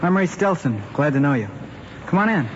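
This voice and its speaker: Eager American teenage boy, higher voice, acted by a young man. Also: expressive, a little fast, natural voice. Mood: friendly, keen. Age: young adult. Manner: casual youthful slang. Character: eager, trusting teenage boy keen on cars. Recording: muffled vintage film soundtrack, clear noise.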